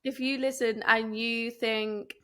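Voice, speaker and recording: A woman's voice: on the high side, little pitch movement, slow, sharp tone, smooth. Young South East London woman chatting. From England. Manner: chatty, colloquial, laughs along. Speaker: podcast co-host. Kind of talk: casual chat.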